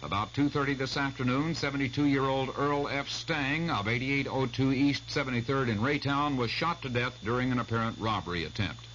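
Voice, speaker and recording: An American man reads a scripted local bulletin at a middle pitch. It sounds like dull old radio tape with a slight hum. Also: brisk, hardly any pauses, fairly bright tone.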